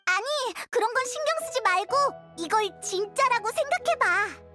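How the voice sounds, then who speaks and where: A woman's voice: very high-pitched, expressive, moderate pace, music in the background. A woman voicing a small childlike fairy companion in a video game, earnest, inquisitive and blunt. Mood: weary, earnest.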